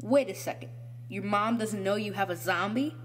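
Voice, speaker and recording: High-pitched bratty schoolboy bully. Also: male voice, normal speed, fairly bright tone, slight background noise. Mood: mocking. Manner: taunting, mocking. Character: bratty, cruel schoolboy bully, sneering and cocky. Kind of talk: audio horror story character voice.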